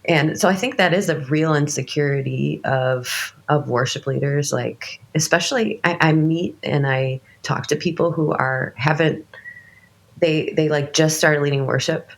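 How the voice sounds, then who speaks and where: A woman's voice: low voice, measured pace, gravelly. A woman in her late thirties, a worship leader fighting a cold, talking casually with many restarts and fillers. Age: late 30s. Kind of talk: casual conversation.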